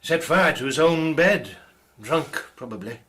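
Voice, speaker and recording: A man's voice: on the high side, expressive, measured pace, fairly bright tone. Stiff, reserved Victorian clergyman. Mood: guarded disapproval. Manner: hesitant, stiff, formal. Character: reserved, formal Victorian clergyman, guarded widower. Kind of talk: period radio drama acting.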